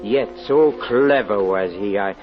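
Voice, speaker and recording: Through a dull vintage radio recording with music behind comes a man playing a trusting stage magician. He speaks haltingly and repeats himself, like a haunted confession in a 1940s radio play. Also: fairly low pitch, close to an everyday voice. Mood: haunted, rueful. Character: trusting, flattered male carnival magician recounting his undoing. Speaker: narrator and lead actor. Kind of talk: 1940s radio drama acting.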